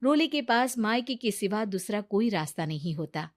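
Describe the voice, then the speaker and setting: A woman's voice: medium pitch, animated, moderate pace. A woman reading a scripted moral story as narrator.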